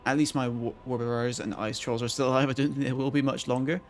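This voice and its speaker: A male streamer with a croaky voice, chatting casually to his viewers as he thinks aloud and plans his moves in a strategy game. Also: medium pitch, little pitch movement, quick pace, slight background noise. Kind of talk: casual gameplay commentary to chat.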